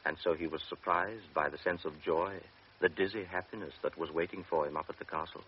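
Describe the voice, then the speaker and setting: A man narrating a classic radio drama in a measured, grave storytelling voice. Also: low voice, steady tone. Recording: dull old radio recording with hiss.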